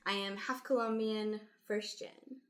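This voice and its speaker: A woman's voice: mid-range voice, steady tone, unhurried, a bit bright, echoey room. A woman from the Chicago suburbs co-hosting a casual podcast, eager and agreeable, with lots of "like". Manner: eager, agreeing, uses "like" a lot. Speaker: podcast co-host. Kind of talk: casual group chat.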